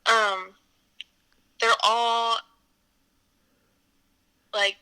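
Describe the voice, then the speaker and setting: A woman's voice: a little high, level tone, very slow, pushed and bright, clear, resonant tone, rough recording. A woman of about twenty chatting casually as an interview guest, giving short, tentative, playful answers. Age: around twenty. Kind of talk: casual chat.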